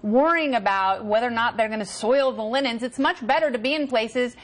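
A woman panelist on a TV talk show, heard through a lapel mic in a studio. Her voice is high and she argues her points emphatically. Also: brisk. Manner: opinionated, emphatic. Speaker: panel guest. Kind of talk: casual panel banter.

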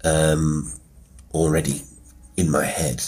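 British male podcaster, low-pitched, very raspy husky voice, wry, self-deprecating, rambling informal commentary. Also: little pitch movement, takes it slowly, darkish tone. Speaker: horror podcast host and writer. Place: quiet room with a piano at work. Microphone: wireless Bluetooth mic into a phone.

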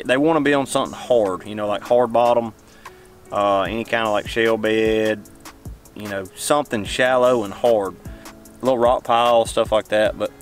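A young man with a drawl, casually rambling about bass fishing from his boat on a lake. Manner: drawling, rambling, talks to the fish. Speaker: fishing channel host. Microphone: camera mounted on the boat.

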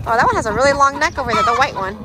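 A woman's voice: high voice, lively intonation, very fast. A woman in her thirties, a mother narrating a casual family vlog, cheerful and giggly as she cheers the kids on.

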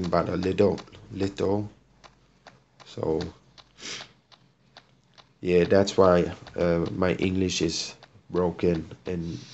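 A man from Sweden with a Swedish accent, casually commenting on a mobile game he is playing in halting, enthusiastic English full of fillers. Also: sits low. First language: Swedish or Hungarian. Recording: loud background noise.

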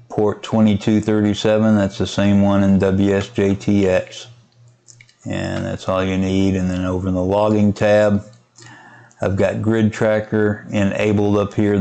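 Man, low pitch, mellow tone, casual screen-share tutorial, hesitant, thinking aloud. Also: clear, resonant tone. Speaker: amateur radio hobbyist giving a software tutorial. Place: indoors at a computer, voice-over of screen recording.

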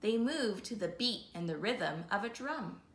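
A woman in her thirties hosting an interactive storytime, reading a picture book expressively and clearly for young children. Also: medium pitch, expressive, unhurried, fairly bright tone. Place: small living room, seated on a sofa. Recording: roomy indoor sound.

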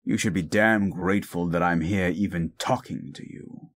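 A man playing a bitter, grieving, gruff werewolf in an audio drama, cynical and scolding. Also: low-pitched. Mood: angry. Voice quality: gruff, gravelly. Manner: cynical, scolding, deliberate storytelling. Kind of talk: audio roleplay drama acting.

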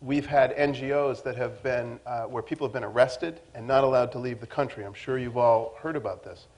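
A man's voice: medium pitch, brisk, a little mellow. Middle-aged man, assured panelist. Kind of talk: panel discussion. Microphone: lapel.

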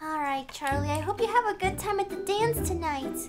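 A woman's voice: very high-pitched, expressive, a little fast. A young woman improvising a game roleplay, playful and cheerful. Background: background music.

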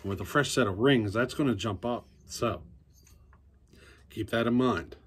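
A man's voice: medium pitch, moderate pace, a little hiss or hum. A man in his forties teaching chainsaw work in a basement workshop, talking easily to a close phone camera and thinking aloud as he goes. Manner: easygoing, thinking aloud with fillers and restarts. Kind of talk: casual workshop how-to.

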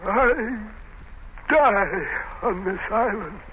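A man playing a haunted, despairing old captive sea captain, halting and ominous, in 1930s radio drama style. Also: sits high, animated, sharp tone, exaggerated voice. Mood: haunted, fearful. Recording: muffled, noisy 1930s radio recording.

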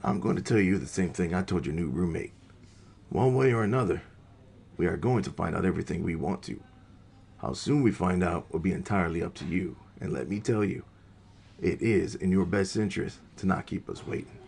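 An actor playing a sardonic, menacing police captain in an audio drama, with a gravelly rasp and a taunting, deliberate manner. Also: male voice, sits low, expressive, a little mellow, exaggerated voice. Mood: cold menace.

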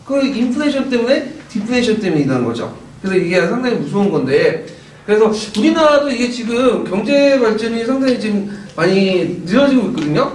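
A man in his forties gives an animated, chatty lecture in a classroom. His voice sits high and swings widely, and it comes across slightly hoarse. He is picked up from afar, with the room echoing around him. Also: brisk, distant mic, a little hiss or hum. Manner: animated, chatty, gestures while explaining. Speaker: lecturer for teacher-exam prep. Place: classroom with whiteboard. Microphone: far camera.

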